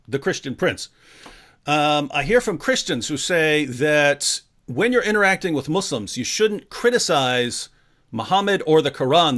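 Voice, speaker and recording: A man's voice: medium pitch, fairly bright tone. A man hosting a casual livestream interview, talking with playful showmanship. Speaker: host.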